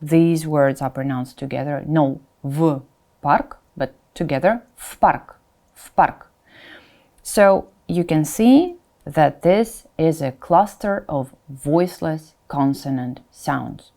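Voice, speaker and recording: A woman with a very mellow, fairly low voice leads a pronunciation lesson. She speaks slowly and repeats example words distinctly for learners. Her informal check-ins break up the instruction. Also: creaky. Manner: informal asides, checks in with "right?". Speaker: Russian language teacher.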